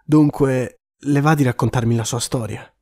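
An actor playing an earnest journalist in a scripted mock-documentary prison interview. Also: male voice, mid-range voice, fairly even intonation, normal speed, warm tone, natural voice. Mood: serious. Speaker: actor in a comedy sketch.

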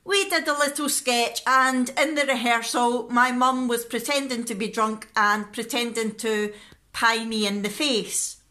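Female vlogger, thirties, bright and animated, talking casually to a handheld camera. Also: fairly high pitch, a little slow. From Scotland. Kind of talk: casual vlog chat to camera. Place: living room at home. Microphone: phone or camera at arm's length.

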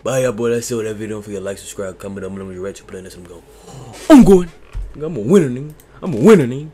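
A man's voice: mid-range voice, expressive, fast, warm tone. Boastful young man reacting with slang. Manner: boastful, trash-talking, slangy. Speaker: reaction video host. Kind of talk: excited reaction commentary. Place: bedroom. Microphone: headset.

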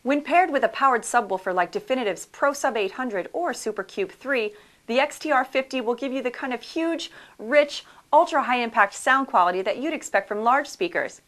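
A woman narrates a product video in a slightly high voice. Her delivery is scripted and polished, with an upbeat sales tone throughout. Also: expressive, normal speed, fairly bright tone.

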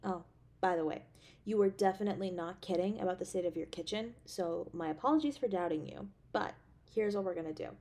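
A woman playing an affectionate, anxious, playfully teasing girlfriend in a romantic audio roleplay, with a tender, expressive delivery. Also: on the low side, a little fast. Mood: teasing, caring. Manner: tender, expressive, with sighs and soft chuckles.

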